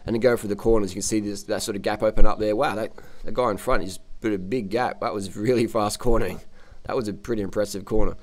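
A man's voice: on the low side, fairly even intonation, quick pace, a little mellow. An Australian man giving unscripted, casual cycling commentary in a blunt, cocky, slangy way, often repeating phrases for emphasis. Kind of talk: unscripted casual commentary over race footage.

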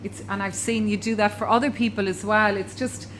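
A woman's voice: medium pitch, steady tone, fairly quick, low-quality recording. Irish woman in her 40s, upbeat, lots of praise, faint shop hum behind. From Ireland. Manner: upbeat and complimentary. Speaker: interviewer, estate agent. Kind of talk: promotional interview. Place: inside a butcher shop.

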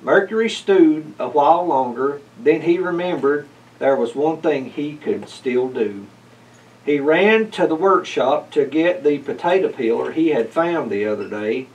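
A man reads a children's storybook at an unhurried pace. His voice sits slightly high and is picked up from a little way off. His delivery is measured and expressive. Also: dull audio. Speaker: story reader. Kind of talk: children's storybook read-aloud. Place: indoors, library corner with bookshelves. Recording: picked up from a distance.

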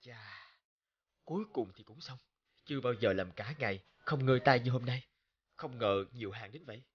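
A man voicing a devoted, hardworking young father in a cartoon, speaking gently and tenderly. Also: mid-range voice, moderate pace, dull audio, natural voice. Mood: tired.

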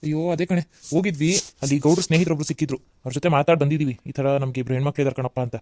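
A woman's voice: deep voice, fairly even intonation, racing, darkish tone, dry recording, natural voice. Woman voicing a weary middle-aged husband. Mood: calm. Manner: weary, sighing.

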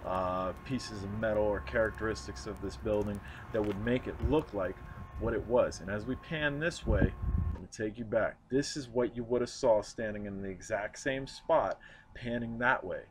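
A man narrating his vlog outdoors, earnest and reflective, often pausing and restarting. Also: lowish voice, noisy background. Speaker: urban explorer vlogger. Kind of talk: casual walk-and-talk narration. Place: outdoors at a snowy demolition site.

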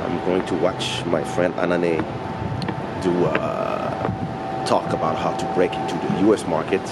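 A man in his forties chatting casually to a vlog camera held at arm's length. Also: sits low. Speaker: vlogger. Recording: loud outdoor and ambient noise.

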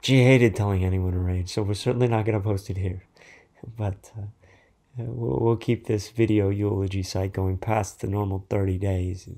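A man performing a dramatic monologue as a grieving, tender, subdued mourner with a soft, gravelly voice. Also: lowish voice, fairly even intonation, normal speed, natural voice. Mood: fond eulogy. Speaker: actor in a staged reading. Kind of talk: dramatic third-person monologue.

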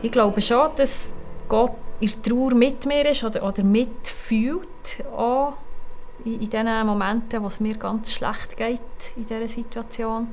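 Soft-spoken Swiss German woman. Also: fairly high pitch, fairly even intonation, unhurried, a little hiss or hum. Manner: soft-spoken, searching for words with small restarts. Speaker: interviewee. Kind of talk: personal interview. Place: indoor music room. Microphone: lapel.

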